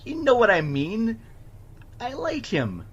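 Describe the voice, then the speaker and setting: A man playing an excited, lovestruck teenage girl who gushes in halting slang, in an amateur re-enactment of a film scene. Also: very high voice, breathy edge, lo-fi sound, voice pushed up, full character voice. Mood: eager, lovestruck. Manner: gushing, halting teen slang.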